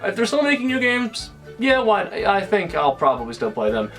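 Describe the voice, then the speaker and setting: A man's voice: high-pitched, big pitch swings, brisk, fairly bright tone, faint music behind. A nineteen-year-old man talking casually to camera in a vlog, rambling with many restarts and fillers. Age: young adult. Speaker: YouTuber.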